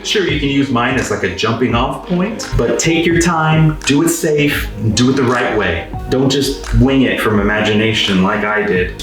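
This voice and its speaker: A man's voice: medium pitch, roomy sound, recorded from a distance. A young man giving casual, joking DIY commentary as host, in a wry, self-deprecating way. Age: young adult. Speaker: host of a DIY video series.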